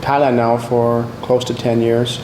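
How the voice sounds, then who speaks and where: A man from Vancouver, Canada, a sportswear business owner speaking in a relaxed, conversational way. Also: mid-range voice, a little slow, background noise. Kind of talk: interview testimony. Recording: roomy echo.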